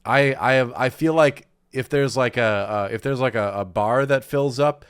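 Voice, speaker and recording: A man's voice: mid-range voice, moderate pace, smooth, no echo, studio quality. A man in his thirties co-hosting a casual podcast, nasal and congested from a cold, rambling with fillers and laughs. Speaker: podcast co-host. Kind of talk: casual chat.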